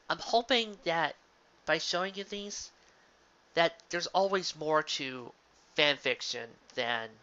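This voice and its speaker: A bright, forceful voice speaks slowly and earnestly to an audience. The delivery is halting and sentimental, leaning hard on key words with dramatic pauses. It sounds like a dull, lo-fi home recording. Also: medium pitch. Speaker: live reader of fan fiction. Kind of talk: earnest spoken commentary to an audience.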